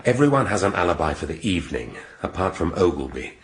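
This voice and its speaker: An actor playing a brusque, irascible police chief inspector in a radio drama, blunt and probing. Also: male voice, low-pitched, sing-song, quick pace, a little hiss or hum. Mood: sardonic.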